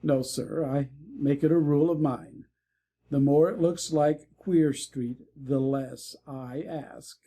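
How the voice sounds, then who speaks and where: A man playing a frank, worldly young Victorian gentleman in a dramatic audiobook, speaking in measured, formal phrases. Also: fairly high pitch, fairly even intonation. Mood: dry, conversational.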